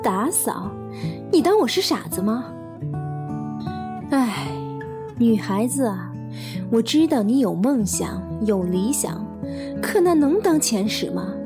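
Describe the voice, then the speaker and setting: A woman's voice: a little high, very animated, slow pace, pauses often, exaggerated voice. A woman playing a money-minded, scornful landlady in a radio drama, nagging and sarcastic over music. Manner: scolding, sarcastic. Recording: guitar music behind the voice.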